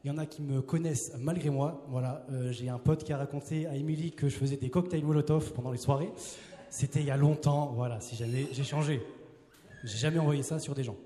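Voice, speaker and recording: A man's voice: mid-range voice, fairly even intonation, a little fast, warm tone. A man in his twenties, a youth preacher, giving a casual, joking sermon on a handheld mic and asking the crowd questions. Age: 20s. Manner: playful, chatty, asks the crowd questions. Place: large hall with a live audience. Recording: roomy hall reverb.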